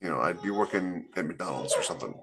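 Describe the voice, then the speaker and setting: A man's voice: low voice, animated, fast talker, a bit bright, husky. A man co-hosting a writing podcast, blunt and opinionated in casual chat. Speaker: podcast co-host, author.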